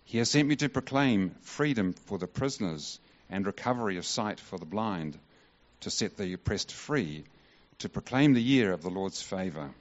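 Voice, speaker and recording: A man reading the gospel aloud in church in a measured, formal way. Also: fairly low pitch, animated, highs cut off. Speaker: scripture reader.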